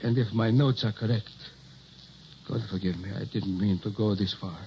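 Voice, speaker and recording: A man acting a worried, secretive older scientist in a 1960s radio drama, speaking in a measured, formal, solemn way. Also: lowish voice, steady tone, gravelly. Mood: grave, confessing. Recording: muffled, noisy old radio recording.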